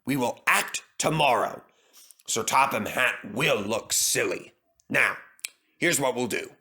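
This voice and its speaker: Man as storybook steam engine, male, big, pompous, haughty, forceful, lively pitch. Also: takes it slowly, breathy edge, full character voice. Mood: scheming. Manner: haughty, complaining.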